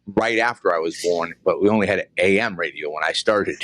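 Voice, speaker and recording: A man's voice: low-pitched, fairly quick, fairly bright tone, no room sound. A man in his early sixties with a Boston accent, hosting a casual interview in a rambling way that repeats words. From Boston, New England. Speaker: interview show host.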